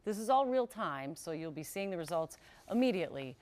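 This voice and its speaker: A woman's voice: lowish voice, very animated, brisk, darkish tone. American woman anchoring TV news. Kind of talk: broadcast news presenting. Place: TV news studio.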